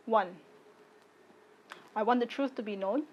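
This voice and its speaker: A woman in her late twenties from Singapore, giving a personal video statement in deliberate, firm, short phrases. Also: fairly high pitch, dull audio. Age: late 20s. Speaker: content creator.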